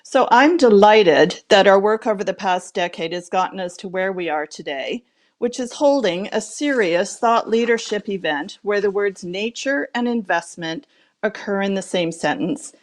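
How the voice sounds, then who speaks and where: A woman's voice: medium pitch, lively intonation, moderate pace. Articulate woman on a video call. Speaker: panelist. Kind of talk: prepared opening remarks. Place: home room with shelves, indoors. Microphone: video call with earphones.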